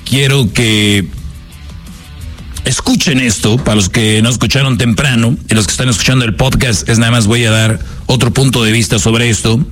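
A man's voice: mid-range voice, fairly even intonation, moderate pace, a bit bright, faint music behind. A man with a Mexican accent hosting a radio show and podcast, talking bluntly in slang and speaking straight to his listeners. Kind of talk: casual on-air commentary.

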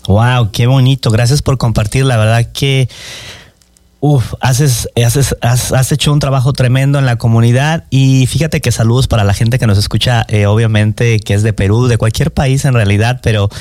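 A man's voice: medium pitch, fairly even intonation, normal speed, darkish tone, no room sound, studio quality. A man hosting a radio talk show, chatty and upbeat, with lots of fillers. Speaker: radio host. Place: radio studio. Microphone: studio microphone.

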